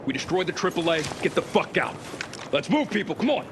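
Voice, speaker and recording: A man's voice: sits high, big pitch swings, a little fast, background noise. A man voicing a hard-bitten male squad leader in a video game, giving clipped commands and swearing, over game music. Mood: shouting. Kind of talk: video game cutscene acting.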